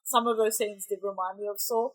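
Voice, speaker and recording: A woman's voice: mid-range voice, steady tone, a little fast, low-quality recording. A young woman with an Australian accent, congested from a cold, chatting in a rambling, self-correcting way as she reacts to a film trailer. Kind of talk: casual reaction commentary.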